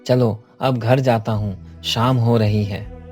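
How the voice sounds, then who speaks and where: A man's voice: mid-range voice, steady tone, slow pace, darkish tone, soft background music, close to an everyday voice. A man playing an earnest office worker and devoted family man in a cartoon story, explaining himself plainly. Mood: pleased.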